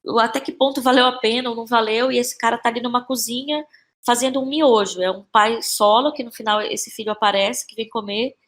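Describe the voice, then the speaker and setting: A woman's voice: a little high, fairly even intonation, a bit bright. A woman with a Brazilian Portuguese accent speaking as an interview guest about her creative work, casually and reflectively, often searching for words and repeating phrases. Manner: halting, searching for words, repeats phrases, frequent tag questions. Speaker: playwright and actress, podcast guest.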